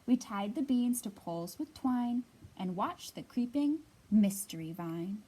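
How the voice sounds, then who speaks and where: A woman librarian giving a storytime reading for young children, sing-song and expressive, pausing to ask the little listeners questions. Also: mid-range voice, a little hiss or hum, low-quality recording.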